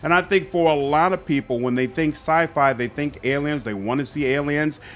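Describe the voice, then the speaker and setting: A man's voice: on the high side, normal speed, a bit bright. Man hosting a gaming commentary video. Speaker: gaming channel host.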